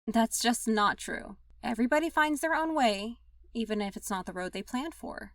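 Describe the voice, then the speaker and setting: Woman acting as encouraging cartoon officer, frank, higher voice, dry but a little off mic. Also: expressive, normal speed. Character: frank, encouraging female human military officer. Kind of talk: animated comic dub acting. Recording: slightly distant from the mic.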